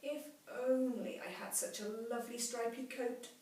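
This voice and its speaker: A woman's voice: fairly high pitch, fairly even intonation, moderate pace, a little mellow, distant mic, voice pushed up, close to an everyday voice. A woman voicing a tiny, wistful, wide-eyed caterpillar turned butterfly in a children's storybook. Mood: wistful longing. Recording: very echoey room, faint hiss.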